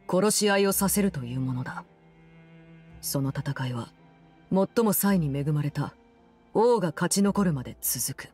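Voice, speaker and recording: An actress playing a cold, composed game villainess, telling a story in a measured, solemn and restrained way. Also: female voice, lowish voice, darkish tone. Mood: grave, composed. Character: cold, composed woman, Fatui Harbinger who runs an orphanage. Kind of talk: scripted game story narration.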